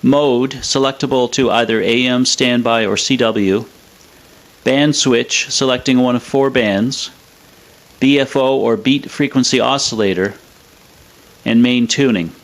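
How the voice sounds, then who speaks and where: A man presenting a scripted technical explainer in a measured, matter-of-fact way. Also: mid-range voice. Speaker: presenter of an electronics review.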